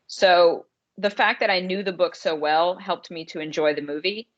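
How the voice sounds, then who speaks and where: A woman's voice: lowish voice, fairly even intonation, moderate pace, lo-fi sound. A woman co-hosting a podcast about romance writing, chatting casually as an author.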